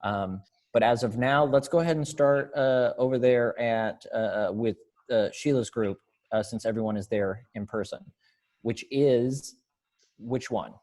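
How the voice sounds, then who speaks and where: A man directing a readers theater rehearsal over a video call, talking casually in halting, hesitant phrases. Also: medium pitch, a little mellow.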